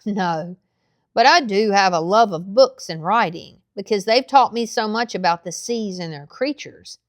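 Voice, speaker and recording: A woman voicing a kindly, folksy old seaman who is gentle and reassuring, in a storybook reading. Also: medium pitch, animated, a little slow, dry recording, clean studio sound, lightly acted. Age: older.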